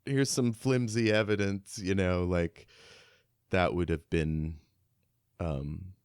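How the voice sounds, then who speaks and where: A man co-hosting a podcast, casually discussing a book and thinking aloud in a halting way. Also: low voice, steady tone, darkish tone, clean tone, no echo, clean studio sound. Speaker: podcast co-host.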